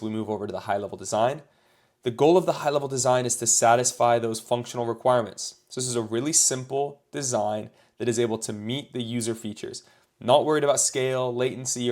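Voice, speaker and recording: A man's voice: medium pitch, a little mellow. A man hosting a tutorial, explaining system design at a whiteboard in an upbeat, casual way, his voice a little congested from a cold. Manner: upbeat, casual, addresses viewers as "you guys". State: has a cold. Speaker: tutorial host.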